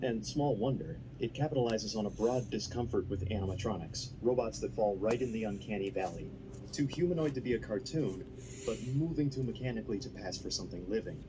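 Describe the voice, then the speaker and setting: A man's voice: lowish voice, expressive, brisk, noisy background. A man delivering scripted, analytical narration in the style of a video essay.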